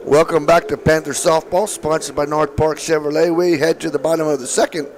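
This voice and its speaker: A man calling softball play-by-play, his voice slightly raspy from allergies, with calm, measured calls. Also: fairly high pitch, slight background noise. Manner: calm, measured calls, excited on big hits. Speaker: play-by-play announcer.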